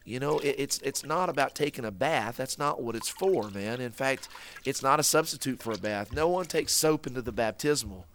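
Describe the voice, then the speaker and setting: A man's voice: mid-range voice, moderate pace, a bit bright, a little hiss or hum. A man hosting a kids' ministry skit, stammering and repeating words while he patiently explains things. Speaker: children's ministry host. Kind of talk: scripted comic skit for kids.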